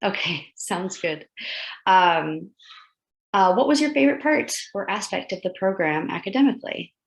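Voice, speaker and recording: A woman's voice: mid-range voice, expressive, normal speed, vocal fry. A woman moderating a panel on a video call, enthusiastic and encouraging.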